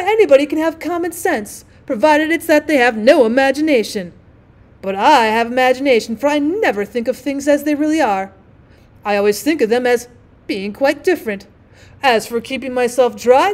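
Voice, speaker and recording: Pompous male storybook rocket, played by a woman: high, haughty, self-important, easily affronted, declaiming grandly. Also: darkish tone, close to an everyday voice. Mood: indignant, scornful.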